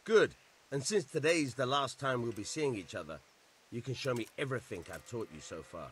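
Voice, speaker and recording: An actor voicing a seasoned, brisk and blunt sword instructor in a medieval video game. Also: male voice, mid-range voice, lively intonation, fairly bright tone, breathy edge. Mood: brisk, instructing.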